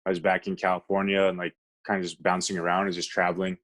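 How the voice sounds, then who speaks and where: A low, deep-voiced man with an American accent talks in a relaxed, conversational way as an interview guest. He tells his stories haltingly, padding them with "like" as he searches for the next detail. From United States. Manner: halting, peppered with "like". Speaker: podcast guest. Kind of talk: casual conversational storytelling.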